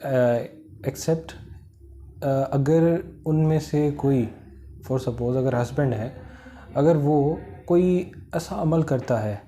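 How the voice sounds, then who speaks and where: A man with a Pakistani accent giving an informal explanatory lecture, halting and repetitive, with many filler phrases. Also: medium pitch, mellow. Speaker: law lecturer. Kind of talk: informal explanatory lecture, Urdu mixed with English legal terms.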